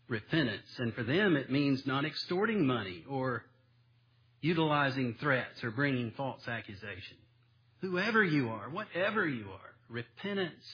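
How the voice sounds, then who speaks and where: A man's voice: on the high side, breathy edge, highs cut off. A man preaching a sermon in a church, folksy and self-deprecating, with homespun stories and plain illustrations. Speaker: pastor. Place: church sanctuary.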